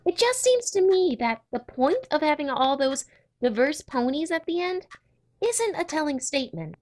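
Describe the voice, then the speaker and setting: A woman's voice: sits high, big pitch swings, normal speed, a little mellow. A woman voicing an eager, bookish young female cartoon pony with an earnest, wordy, apologetic manner in a comic dub.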